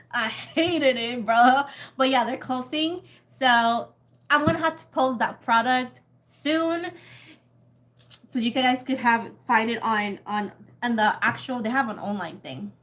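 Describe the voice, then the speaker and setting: Chatty Puerto Rican woman, slightly high voice. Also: takes it slowly, fairly bright tone. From Puerto Rico. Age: young adult. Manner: chatty and rambling, laughs often, swears casually. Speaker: tarot reader. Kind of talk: casual livestream chat with viewers.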